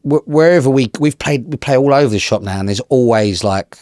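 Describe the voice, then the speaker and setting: A man's voice: medium pitch, fairly quick, noisy background. A man, a musician guest rambling casually and swearing as he tells stories. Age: 30s. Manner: laid-back, swearing, rambling. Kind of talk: casual interview chat. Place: pub.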